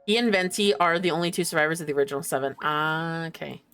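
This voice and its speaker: A woman streamer giving casual, playful game commentary, jokey and quick to swear. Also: sits low, a bit bright, smooth, soft background music.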